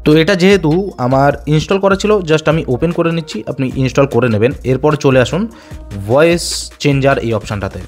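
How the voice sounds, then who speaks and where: A man's voice: a little high, a little fast, darkish tone, faint music behind. A man giving a casual, explanatory tech tutorial voice-over. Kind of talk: instructional voice-over.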